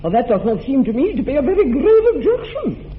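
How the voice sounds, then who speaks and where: A haughty older aristocratic woman , played by a man, in an old radio play, pitched high and swooping wildly from note to note. The delivery is grand and drawn out, and the sound is thin and phone-narrow with a faint hiss behind it. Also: wildly swooping pitch, a little fast, heavily acted. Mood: grandly persuasive.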